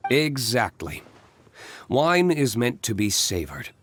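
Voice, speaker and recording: An actor playing a composed, courteous young winery owner in a video game, measured and businesslike. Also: male voice, mid-range voice, sing-song, slightly rough, exaggerated voice. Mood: calm, explanatory.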